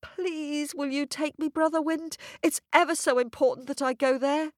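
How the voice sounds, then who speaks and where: A woman's voice: high voice, fairly even intonation, moderate pace, no room sound, clean studio sound, voice pushed up, natural voice. A woman voicing a timid young girl on a quest, who speaks eagerly and stammers breathlessly. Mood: pleading.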